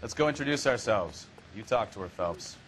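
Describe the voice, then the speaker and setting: A man playing a gruff, blunt 1940s police partner in a video game, talking in a colloquial way. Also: on the low side, animated, moderate pace, slight background noise, close to an everyday voice. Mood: blunt, reluctant. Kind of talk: scripted dramatic video game acting.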